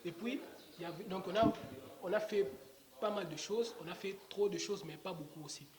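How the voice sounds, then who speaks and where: Young rapper in an echoey room. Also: male voice, high-pitched, normal speed. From Democratic Republic of the Congo. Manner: rambling, many restarts and fillers. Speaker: rapper guest. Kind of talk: casual interview. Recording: echoey room with clear background noise.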